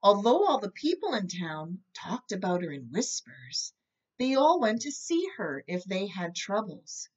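A middle-aged woman reading a children's story aloud in a measured, expressive way through a computer microphone indoors. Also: mid-range voice, dull audio. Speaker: storybook reader. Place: indoor room with bookshelves.

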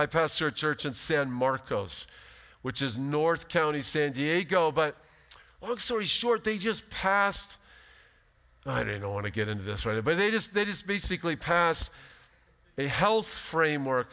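A pastor in his fifties giving an animated, emphatic sermon from a church stage. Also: a little high, moderate pace. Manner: animated, emphatic preaching with big gestures. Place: large church stage, indoors. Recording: long hall reverb.

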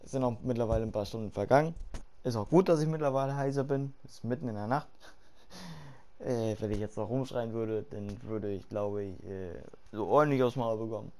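A hoarse man talking through his game setup in casual commentary, swearing freely and thinking aloud with fillers, late at night. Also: lowish voice, a little fast. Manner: loud and excited at the start, quieter later. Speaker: gaming YouTuber. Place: home room at night.

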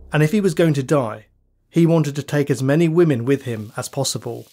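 A man hosting a true crime podcast, reading scripted narration in a measured, dramatic storytelling manner. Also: mid-range voice, a little mellow, slight background noise. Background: background music.

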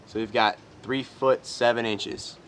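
A man's voice: mid-range voice, steady tone, measured pace, a bit bright. A young man casually explaining sail measurements on his boat outdoors in a boatyard, talking into a handheld camera. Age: young adult. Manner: easygoing, explaining step by step. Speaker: sailing vlog co-host. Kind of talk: casual how-to vlog. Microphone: handheld camera close up. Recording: faint outdoor wind noise.

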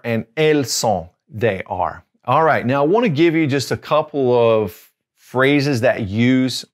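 A man in his forties teaching a video language lesson on a lapel mic in a studio, patiently repeating each word and spelling it out. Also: medium pitch. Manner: gestures with his hands while explaining. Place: studio with a dark backdrop.

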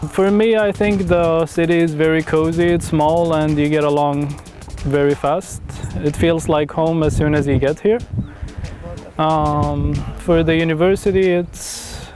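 A man's voice: a little high, fairly even intonation, measured pace, music in the background. Easygoing young man from Sweden. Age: young adult. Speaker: medical student. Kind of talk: interview testimonial. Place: outdoors by the sea.

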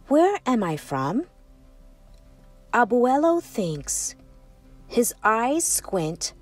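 A woman narrating a children's picture book as a storytime host, with expressive, deliberate phrasing. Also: medium pitch, distant mic. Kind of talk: scripted picture-book read-aloud. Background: music.

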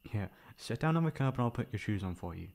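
A young man playing a caring, mildly exasperated boyfriend in an ASMR roleplay, coaxing and teasing with breathy sighs. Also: fairly low pitch, expressive, quick pace, darkish tone. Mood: concerned, coaxing.

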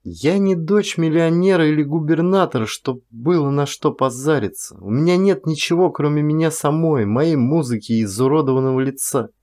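A man's voice: fairly high pitch, a little mellow, voice pushed up, natural voice. A man voicing an insecure young woman in love, emotional and confessional, in an audiobook. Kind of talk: audiobook character dialogue. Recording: music under the voice.

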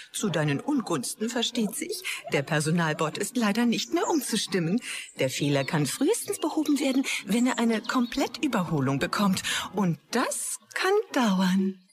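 An actress playing a gloating, sweetly spiteful enemy AI in a German sci-fi audio drama, taunting with mock cheer. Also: female voice, mid-range voice, very animated, normal speed, close to an everyday voice. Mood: mockingly sweet.

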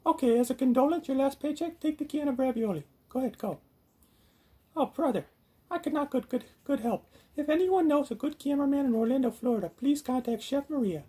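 Casting: man playing bossy lady chef puppet, high mellow voice, theatrical, indignant comic cooking show host. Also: brisk, breathy edge. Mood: weary, apologetic. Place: home garage.

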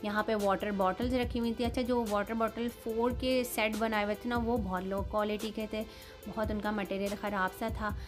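A woman's voice: mid-range voice, fairly even intonation, normal speed. Chatty woman with Pakistani Urdu accent. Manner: chatty, frank, judging prices and quality item by item. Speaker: vlogger. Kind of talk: casual shopping haul vlog. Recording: voice-over with loud background music. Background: music.

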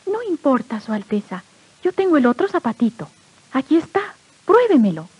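An actress playing a gentle, earnest young fairy-tale heroine on a 1950s storybook record. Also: female voice, high-pitched, very animated, moderate pace, dull audio. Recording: vintage 1950s record with music.

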